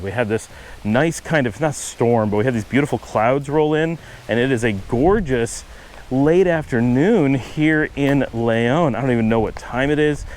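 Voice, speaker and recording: Fast-talking man with a Buffalo accent. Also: mid-range voice, a little hiss or hum. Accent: Buffalo-Cleveland American. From upstate New York. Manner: fast-talking, trying to slow down. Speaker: vlogger. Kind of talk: casual walking vlog. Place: outdoors on a windy street.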